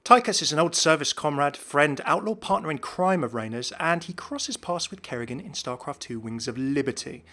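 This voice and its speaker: A man's voice: on the high side, animated, moderate pace, few pauses, pushed and bright. A man giving explanatory narration about video game lore. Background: background music.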